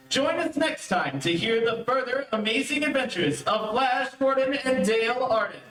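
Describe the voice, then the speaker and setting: A man's voice: extremely high pitch, a bit bright. A man reading scripted old-time radio narration as announcer, with a dramatic delivery. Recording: echoey, distant streamed audio with music.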